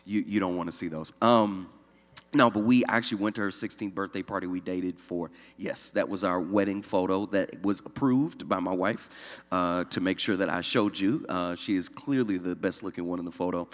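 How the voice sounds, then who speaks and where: A man's voice: low-pitched, normal speed. A man in his thirties from upstate New York, a young adult pastor, speaking in an easygoing, joking way with many fillers. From Syracuse, New York. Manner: easygoing, many "uh" fillers, self-deprecating humor. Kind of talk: church talk, personal testimony. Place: church room. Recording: long hall reverb.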